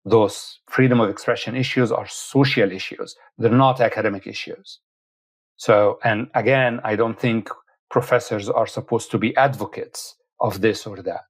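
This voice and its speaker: A man's voice: fairly low pitch, steady tone, moderate pace. A man, a professor whose first language is Arabic, firmly explaining his views as a guest in an interview. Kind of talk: interview answer.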